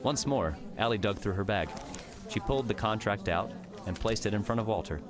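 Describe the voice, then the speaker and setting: A man's voice: lowish voice, animated, fairly quick, crowd noise. A man reading scripted third-person story narration as an audiobook narrator.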